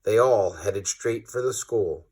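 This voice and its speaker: A man's voice: fairly low pitch, slow pace, a little mellow, slightly rough. A man narrating a children's story expressively, like a teacher reading aloud to pupils.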